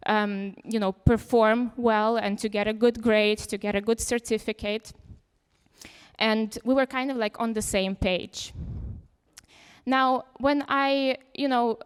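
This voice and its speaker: A woman's voice: a little high, smooth. Ukrainian-born woman speaking freestyle in a hall. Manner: chatty, many fillers like "you know". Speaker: conference speaker. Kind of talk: informal talk without slides. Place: lecture hall. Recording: reverberant hall.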